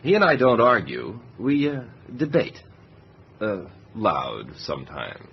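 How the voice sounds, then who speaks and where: A middle-aged actor playing a gruff, wry, skeptical veteran submarine captain in a radio drama, with a dry, commanding manner. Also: male voice, on the low side, huge pitch swings, takes it slowly, slight background noise, heavily acted. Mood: dry, guarded. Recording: dull, lo-fi radio drama sound.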